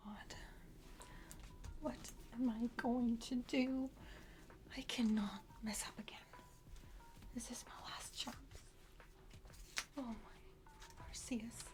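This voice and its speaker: A woman's voice: on the high side, a little mellow. A woman playing a self-important young criminal henchwoman in an ASMR roleplay, whispering breathily and hesitantly close to the microphone. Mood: nervous, pleading. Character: boastful, eager young female criminal henchwoman.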